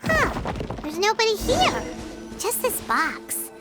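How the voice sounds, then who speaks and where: A squeaky excitable fairy companion. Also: female voice, takes it slowly, heavily acted. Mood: curious. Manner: chirpy, curious, talks about herself in third person. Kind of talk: game voice acting. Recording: game audio with loud music.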